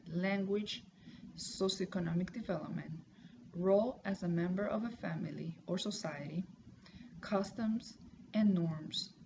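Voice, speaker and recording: Gravelly-voiced woman reading. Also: fairly low pitch. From Puerto Rico. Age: 30s. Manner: careful, measured reading with pauses. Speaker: student. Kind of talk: reading a class assignment aloud. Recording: lo-fi home recording with noise.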